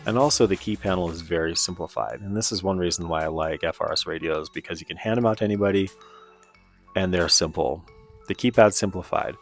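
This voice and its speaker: Low-voiced man casually reviewing gear. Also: brisk, faint music behind. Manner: informal, conversational. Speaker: presenter of radio gear review.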